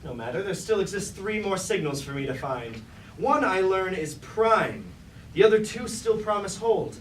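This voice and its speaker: A man's voice: high-pitched, lively intonation, moderate pace, fairly bright tone. An actor playing a scheming, grandiose robot king in a staged tragedy, speaking pompous, archaic theatrical lines. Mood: sly, disdainful. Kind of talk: staged reading of a mock Greek tragedy. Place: indoor staged reading, mic far from actor. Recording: roomy, distant, noisy live stage recording.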